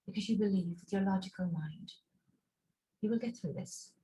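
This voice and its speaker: A woman leading a guided relaxation and visualization over a video call, speaking in slow, soothing, paused instructions. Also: mid-range voice, dark tone, poor audio.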